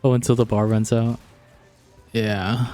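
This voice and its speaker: A man's voice: medium pitch, level tone, unhurried, dark tone, faint music behind. A man streaming a video game and chatting casually as he plays. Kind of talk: casual chat while playing a game.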